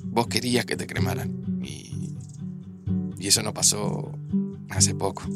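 A man's voice: sits low, unhurried, creaky, over loud music. An actor playing an earnest, hesitant man in his thirties, hurt and grieving, with a Rioplatense Argentine accent, in an audio drama. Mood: grieving, gentle. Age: 30s. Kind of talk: naturalistic audio drama acting.

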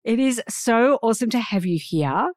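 A woman's voice: a little high, lively intonation, normal speed, darkish tone, dry recording, studio quality. A woman with an Australian accent hosting a podcast, introducing and interviewing with an enthusiastic, emphatic manner. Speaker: podcast host.

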